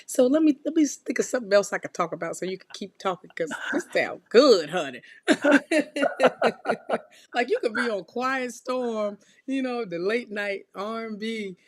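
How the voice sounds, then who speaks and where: Hoarse raspy lady chatting on a live stream, kinda high voice, laughs a lot. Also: animated, normal speed. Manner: chatty, laughing, calls people honey. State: hoarse, recovering from illness. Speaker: tarot reader co-host. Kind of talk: casual live-stream chat. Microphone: desk mic for live stream.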